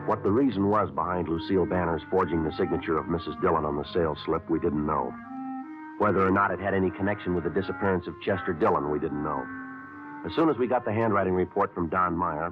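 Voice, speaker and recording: An actor playing a terse police sergeant narrating in a clipped, deadpan way, in a 1950s radio drama. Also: male voice, sits low, close to an everyday voice. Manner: clipped, deadpan, flat delivery. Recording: tinny old radio broadcast with music.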